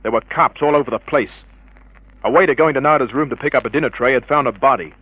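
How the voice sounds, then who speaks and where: A cynical, tough private eye from an old radio serial. He, a mid-pitched voice and a clipped, hard-boiled delivery for both narration and confrontation. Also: fast talker, bright, forceful tone, husky, no echo, lo-fi sound. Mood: matter-of-fact. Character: tough, cynical male private investigator narrating his case. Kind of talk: 1950s radio crime drama narration and dialogue.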